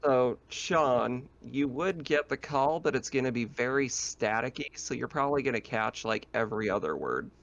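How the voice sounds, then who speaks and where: A man's voice: mid-range voice, lively intonation, normal speed, gravelly, few pauses, a bit bright, dull audio. A man casually narrating and explaining a tabletop game as game master.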